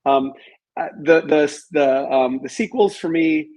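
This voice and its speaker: A man speaking as an interview guest in a measured, halting way, thinking aloud and qualifying his opinions. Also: fairly high pitch, a little mellow. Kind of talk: reflective interview conversation about films.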